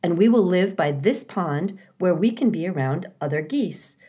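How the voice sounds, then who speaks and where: A polite female robot from a children's storybook, read by a woman. She sounds earnest and literal, wording everything formally and with measured care. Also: on the low side, animated, mellow, lightly acted. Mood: calm. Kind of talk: children's storybook character reading.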